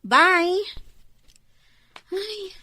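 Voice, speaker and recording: A woman acting an earnest, soft-hearted young student in a radio drama, with emotional delivery. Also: extremely high pitch, lively intonation, extremely slow, no room sound, clean studio sound, heavily acted. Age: young adult.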